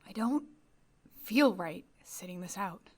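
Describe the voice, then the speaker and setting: A woman's voice: mid-range voice, animated, slow pace. A woman playing a stubborn, proud captain in an audio drama, terse and insistent. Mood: indignant. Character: stubborn, proud female captain recovering from surgery.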